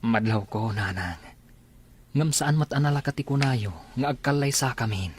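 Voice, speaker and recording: A man's voice: mid-range voice, normal speed, slightly rough, close to an everyday voice. A man acting an easygoing, respectful young countryman in a radio-style drama, with an Ilocano accent. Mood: pleading.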